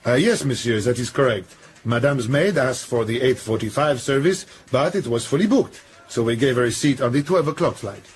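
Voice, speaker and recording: A man's voice: mid-range voice, moderate pace, a little hiss or hum, close to an everyday voice. A man playing a nervous, evasive airline booking clerk, hesitant and polite, in a radio drama.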